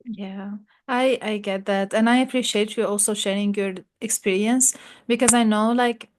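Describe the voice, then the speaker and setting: A woman's voice: mid-range voice, steady tone, normal speed, slight background noise. A woman interviewing a fellow graduate student, chatty and full of "like" fillers. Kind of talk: casual interview.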